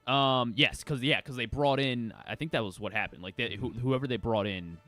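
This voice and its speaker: A man giving a casual sports news recap as co-host, halting and often correcting himself. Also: mid-range voice, fairly bright tone, no room sound, soft background music. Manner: hesitant, halting, self-correcting. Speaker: sports show co-host reading the week's news.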